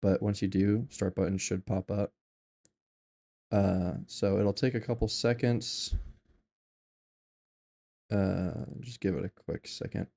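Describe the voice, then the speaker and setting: A young man walking through a software install in a casual tutorial, rambling with lots of fillers and restarts. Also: low-pitched, steady tone, takes it slowly, vocal fry, pauses often. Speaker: tutorial maker.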